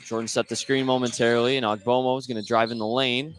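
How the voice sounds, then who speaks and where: A man's voice: medium pitch, little pitch movement, quick pace, slight background noise. A man doing live play-by-play radio commentary of a basketball game.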